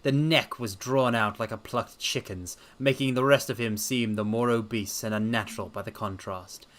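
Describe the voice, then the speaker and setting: A man's voice: lowish voice, fairly even intonation, normal speed, a bit bright. A man reading a classic detective story aloud as dramatic narration.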